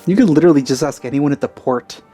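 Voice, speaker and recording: Man, let's play commentator, dark mellow tone, wry, casual, faint music behind. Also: quick pace. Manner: wry, low-key.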